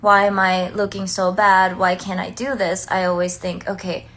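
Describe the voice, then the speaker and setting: A woman's voice: medium pitch, little pitch movement, moderate pace, a bit bright. A woman chatting casually on a livestream, heartfelt and talkative, filling her speech with "like.". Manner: chatty, heartfelt, many "like" fillers. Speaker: singer and dancer on a livestream.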